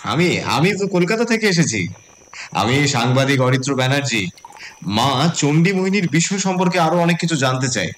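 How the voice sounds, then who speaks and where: A man's voice: fairly high pitch, animated, a little fast, slight background noise, close to an everyday voice. A man playing a confident, curious city journalist in an audio horror story. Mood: confident, breezy.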